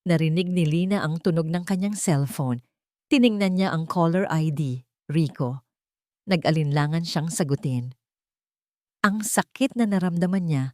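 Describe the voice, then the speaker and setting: A woman narrating a dramatic love story in a measured, even reading. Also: low voice, dry recording, clean studio sound.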